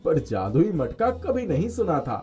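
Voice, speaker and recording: A man voicing a poor, good-natured village farmer in an earnest, expressive storybook delivery. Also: a little high, moderate pace, faint music behind. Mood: delighted.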